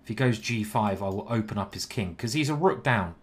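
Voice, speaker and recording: A young man from Britain gives casual live commentary on his own chess game, thinking aloud between moves and joking with viewers. His voice sits low and easy. A faint background noise runs under the stream. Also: lively intonation, fairly quick.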